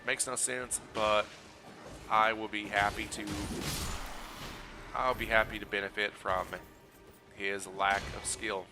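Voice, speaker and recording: Sick guy streaming a game, scratchy hoarse voice, low and raspy, chatty walkthrough. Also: slow pace, long pauses, over music. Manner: relaxed, explanatory, chatty asides to chat. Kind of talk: casual gameplay walkthrough commentary.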